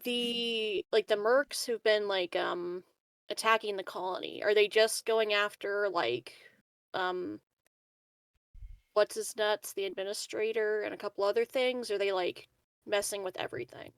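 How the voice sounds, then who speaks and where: A woman's voice: mid-range voice, unhurried, clear, resonant tone, no echo. A woman chatting casually as a player in a tabletop roleplaying game.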